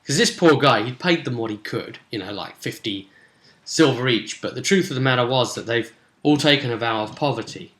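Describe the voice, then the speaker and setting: Bright-voiced man in an echoey room. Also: medium pitch, brisk. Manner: chatty, storytelling, acting out brief bits of dialogue. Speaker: game master and vidcast host. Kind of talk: informal recap of a tabletop role-playing session. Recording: roomy, echoing sound.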